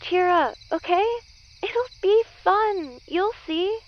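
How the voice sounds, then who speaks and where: Woman playing a very high, chirpy teenage friend. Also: expressive, takes it slowly, highs cut off, a little hiss or hum, heavily acted. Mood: upbeat, reassuring. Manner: chirpy, encouraging. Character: bubbly, caring teenage friend, very high-voiced. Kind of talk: audio drama character acting.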